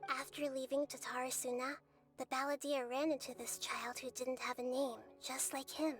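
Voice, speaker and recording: A gentle female storyteller, played by a woman, narrates in a high voice with measured tenderness. It sounds like a solemn storybook passage in a game, with music behind. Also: flat delivery, distant mic, close to an everyday voice.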